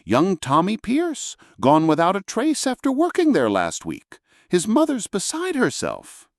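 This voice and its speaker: A man voicing an excitable middle-aged butcher's wife who gossips eagerly and breathlessly. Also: high voice, a little slow, no echo, studio quality, pitched above the performer's own voice, full character voice. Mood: shocked.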